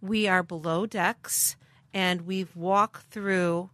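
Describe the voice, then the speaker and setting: Bright-voiced woman explaining clearly. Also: fairly low pitch, steady tone, takes it slowly, no room sound. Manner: informative, methodical, with fillers. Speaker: museum director, guest. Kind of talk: explanatory interview talk. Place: submarine galley.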